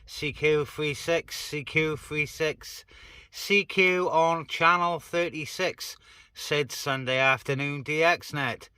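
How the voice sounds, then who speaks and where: A man's voice: fairly high pitch, fairly even intonation, takes it slowly, pushed and bright, clear, resonant tone, no room sound. A man operating CB radio, chatting casually and making calls in a hesitant, conversational way with radio phrases. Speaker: CB radio operator, net helper.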